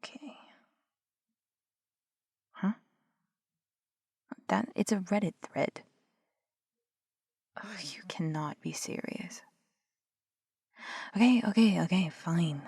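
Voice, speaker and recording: A young woman with a low, gravelly voice talks close to the mic in a dry, dead room. She plays a skeptical investigator who argues and scoffs with casual swearing. Now and then she drops to a near whisper. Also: slow, long pauses, darkish tone, no room sound. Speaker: audio roleplay performer as a skeptical investigator. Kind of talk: scripted one-sided audio roleplay.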